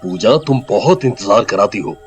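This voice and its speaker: A man playing a young boyfriend in an animated horror story, dramatic, grumbling and easily frightened. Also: fairly low pitch, moderate pace, soft background music, close to an everyday voice. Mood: grumbling, impressed.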